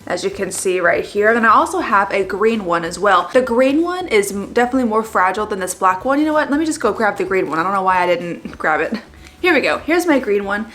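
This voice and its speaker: Young woman, stuffy nose, high-ish voice, chatty, rambling, vlogger. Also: fairly bright tone. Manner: chatty, rambling, frequent fillers. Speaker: fashion vlogger. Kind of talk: casual chat to camera.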